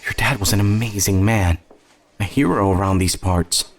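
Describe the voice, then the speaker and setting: A man's voice: on the low side, moderate pace, lightly acted. A man voicing a kindly, affectionate older small-town woman who works at a hospital, in a light, motherly, fussing manner for an audio story. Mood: warm, fussing.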